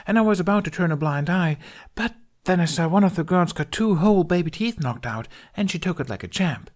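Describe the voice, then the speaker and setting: A high, lilting young fairy woman, played by a man, chatters brightly through a fantasy story. She is blithe and excitable, gushing from one thought to the next with a lively swing in pitch. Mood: excited, rambling. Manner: rapid gushing, cheerful and blithe.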